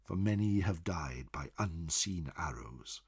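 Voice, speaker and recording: Male storyteller as aged scholar chronicler, fantasy storybook narration, slow delivery, husky voice, proud, portentous, dramatic pauses. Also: low voice, expressive, heavily acted. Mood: foreboding. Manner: theatrical, portentous, pausing for emphasis.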